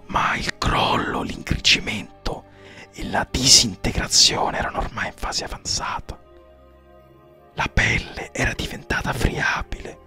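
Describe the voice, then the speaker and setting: Hushed, whispery man narrating horror. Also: medium pitch. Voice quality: hushed, breathy. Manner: suspenseful, theatrical, with measured pauses. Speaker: audiobook narrator. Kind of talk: dramatic horror story narration.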